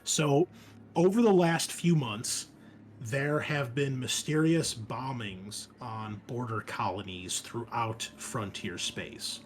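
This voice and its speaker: Man, game master, mid-pitched, casual and conversational, enthusiastic, sweary, slight background noise. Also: takes it slowly. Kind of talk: casual chat and tabletop game narration.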